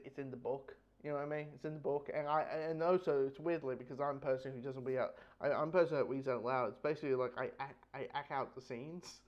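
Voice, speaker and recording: A man giving a casual, rambling review, with halting delivery, frequent false starts and repeated words. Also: medium pitch. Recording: dull lo-fi microphone sound.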